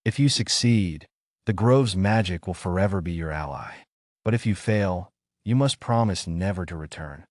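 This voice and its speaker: Man voicing a low, gentle old forest guardian. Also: lively intonation, normal speed, no echo, studio quality. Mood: grave. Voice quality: soft, gentle.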